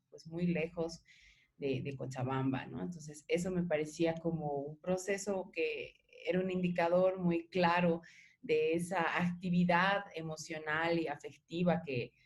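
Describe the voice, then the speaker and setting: A woman interviewer giving thoughtful conversational commentary in a measured, reflective and supportive way. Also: sits low.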